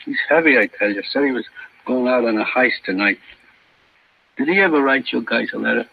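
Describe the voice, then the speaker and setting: An actor playing a nervy small-time thief turned informant, aggrieved and self-justifying, in slangy, clipped, insistent speech, in the style of 1950s radio crime drama. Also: male voice, medium pitch, lively intonation, fairly quick, creaky, breathy edge. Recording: dull, noisy old radio recording.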